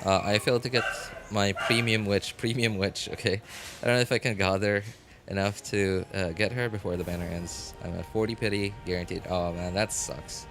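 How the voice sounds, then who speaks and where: Low-voiced man musing on a livestream. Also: fairly even intonation. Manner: musing, halting, repeats and restarts phrases. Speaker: game livestreamer. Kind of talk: casual rambling livestream chat. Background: background music.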